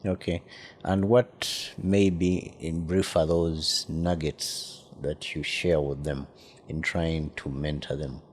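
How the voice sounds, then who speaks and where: A man interviewing a guest, asking short questions and murmuring agreement quietly. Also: extremely low pitch, level tone, takes it slowly, a little hiss or hum.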